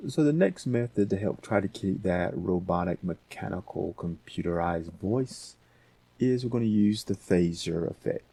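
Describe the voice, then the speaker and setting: A man hosting a casual software tutorial, talking informally and thinking aloud as he goes. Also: low voice, lively intonation, moderate pace, creaky, slightly rough. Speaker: audio editing tutorial host.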